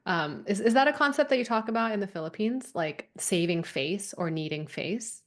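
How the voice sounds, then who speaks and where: A woman's voice: mid-range voice, expressive, moderate pace. A woman in her thirties from Hong Kong, a podcast guest talking thoughtfully about her life with easy laughs. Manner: soft, thoughtful, laughs easily, frequent "um". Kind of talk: casual conversational interview.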